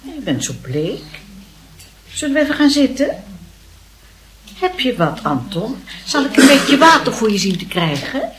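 A woman's voice: on the low side, very animated, normal speed, very noisy. A woman playing a kindly, fussing aunt in a radio play. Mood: concerned. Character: kindly, fussing aunt looking after her young nephew.

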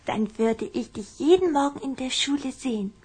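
A woman playing an affectionate, excitable little vampire girl in a children's audio drama, coy and eager in manner. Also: high-pitched, normal speed, slightly rough. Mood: smitten, coy.

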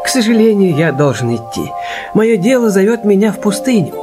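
Courteous, shrewd Chinese detective, fairly high animated male voice, radio drama, music behind. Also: brisk. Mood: politely curious. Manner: courteous, flowery. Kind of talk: Russian radio play acting.

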